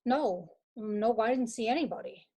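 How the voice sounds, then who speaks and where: A woman's voice: on the high side, expressive, vocal fry, mellow, husky, heavily acted. A woman playing a muddled, hesitant male amateur detective in an amateur radio-style mystery. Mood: flustered. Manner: halting, flustered.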